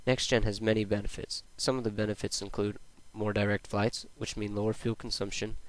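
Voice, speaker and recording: A man's voice: fairly low pitch, flat delivery, a little fast, poor audio. A young adult man reading a scripted school presentation as a student presenter, in a plain read-aloud delivery.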